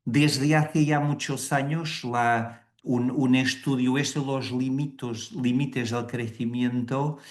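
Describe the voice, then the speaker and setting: A man's voice: medium pitch, fairly even intonation, takes it slowly, few pauses, reverberant. A man with a Portuguese accent, a Jesuit priest giving a webinar presentation over a video call, hesitating with fillers and restarting words. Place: indoors in Rome.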